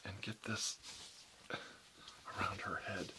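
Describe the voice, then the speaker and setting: Male caregiver, soft soothing whisper, lowish pitch, faint noise floor. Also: steady tone, slow. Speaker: roleplay caregiver. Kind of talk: whispered medical roleplay.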